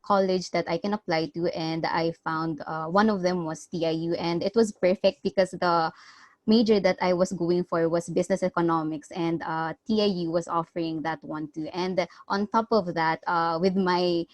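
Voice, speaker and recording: Lower-voiced woman, dry close sound. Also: steady tone, dry recording. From Philippines. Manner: halting, many fillers. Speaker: student panelist. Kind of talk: webinar panel talk. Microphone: video call.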